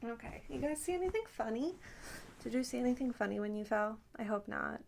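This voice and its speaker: A soft-spoken woman chatting casually in an ASMR style, often dropping into whispers, playful and doting toward the listener. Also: fairly high pitch, animated, a little fast, gravelly. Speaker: ASMR creator talking to viewers. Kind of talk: casual rambling ASMR chat.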